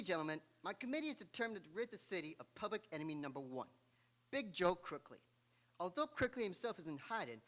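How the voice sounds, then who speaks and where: Pompous male philanthropist for a radio comedy stage actor, forceful and animated delivery, formal and earnest to the point of self-importance. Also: medium pitch. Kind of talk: 1930s comedy radio play acting. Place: auditorium stage. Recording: live stage radio play.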